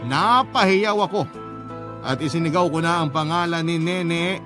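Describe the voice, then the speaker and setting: A man's voice: a little high, moderate pace, lightly acted. A man playing a worried, devoted storyteller, giving an earnest first-person radio drama narration. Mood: shaken. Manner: earnest, emotional. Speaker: letter-sender narrator. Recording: music bed under narration.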